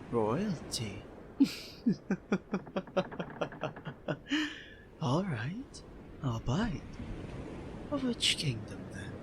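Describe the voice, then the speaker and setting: A man voices a suave pirate captain in an audio roleplay. He is self-assured, courteous and teasing, with an unhurried pace and lively swings in pitch. Noticeable ambient noise sits behind him. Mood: intrigued. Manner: smooth, courteous, playfully smug. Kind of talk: ASMR roleplay acting.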